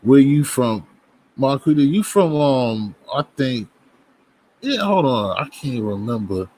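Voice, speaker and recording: A man's voice: mid-range voice, sing-song, slow, mellow. A man from Boston hosting his own livestream, chatting loosely with viewers and greeting them as they come in. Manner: loose, rambling talk to viewers, frequent restarts. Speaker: livestream host. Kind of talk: casual livestream chat.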